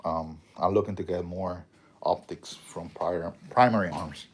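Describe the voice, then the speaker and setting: A man's voice: deep voice, fairly even intonation, unhurried, husky. A man with a strong Puerto Rican accent hosting a casual livestream, rambling, swearing freely and answering viewer comments. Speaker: livestream host.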